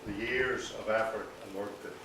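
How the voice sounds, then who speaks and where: A man with a fairly low voice speaks haltingly to a gathering in a very echoey hall. He is some distance from the microphone, and delivers his informal speech in a measured way. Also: fairly even intonation. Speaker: presenter closing a local heritage event. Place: large indoor hall at a public presentation. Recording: echoey hall, distant mic, audience noise.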